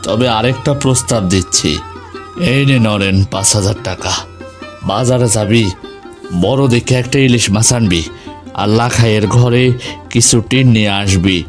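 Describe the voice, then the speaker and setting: A man's voice: lowish voice, normal speed, pauses often, music in the background, close to an everyday voice. A man with a Bangladeshi Bengali accent voicing a hot-tempered but loyal village husband and elder brother in a melodramatic cartoon. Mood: firm, generous.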